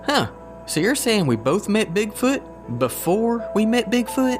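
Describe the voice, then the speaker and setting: An actor with a Southern US accent playing a goofy, good-natured country man in an audio drama, talking in a folksy, drawn-out way. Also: high-pitched, over music. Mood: surprised. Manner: folksy, drawn-out, excitable storytelling. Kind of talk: scripted audio drama dialogue.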